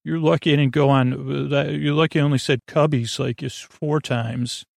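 A man hosting a sleep podcast with a meandering, halting monologue, often stammering and drifting off on tangents. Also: fairly high pitch, fairly even intonation, gravelly, dry recording, clean studio sound. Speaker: podcast host.

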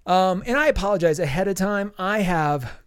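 Stuffy male podcast co-host, higher voice, dry close mic, rambling and eager. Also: normal speed, clear, resonant tone, no room sound. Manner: rambling, enthusiastic, many restarts. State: stuffy nose from allergies. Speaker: podcast co-host reviewing comics. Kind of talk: casual podcast chat.

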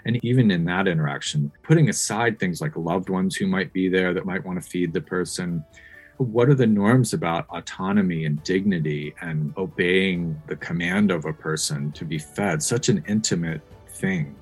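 Deep voiced guy being interviewed, thoughtful academic type, asks rhetorical questions, bit of music behind. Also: moderate pace, husky. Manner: reflective, posing rhetorical questions. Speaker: interviewed researcher on ethics of technology.

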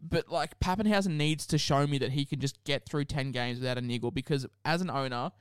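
A man's voice: mid-range voice, brisk, clean tone, no echo, clean studio sound. A young man co-hosting a podcast, chatting bluntly and opinionatedly about sports, with casual swearing. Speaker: podcast co-host.

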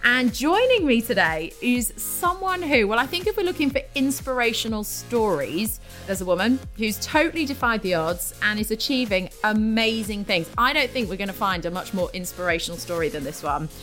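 A woman hosts a podcast with an effusive, enthusiastic manner. Her pitch swoops up and down in a lively way. Faint music plays softly behind her. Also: moderate pace, hardly any pauses. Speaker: podcast host. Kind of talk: casual interview hosting.

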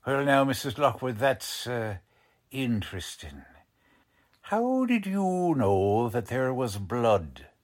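Tough American cop, old radio drama, sarcastic, bullying, slow delivery, swinging pitch. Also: male voice, huge pitch swings. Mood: probing. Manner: blunt, slangy, bullying. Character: gruff, tough, sarcastic police lieutenant. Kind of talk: 1940s radio acting.

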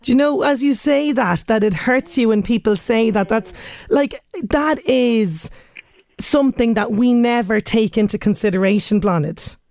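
A woman's voice: fairly high pitch, moderate pace, a little mellow, dry recording, studio quality. A woman with an Irish accent hosting a radio interview, enthusiastic and encouraging toward her guest. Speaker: radio host.

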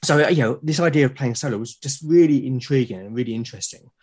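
A man's voice: medium pitch, fast, darkish tone, breathy edge. A man chatting casually as a podcast guest, enthusiastic but hesitant, often repeating words as he goes. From Britain. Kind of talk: casual conversational interview.

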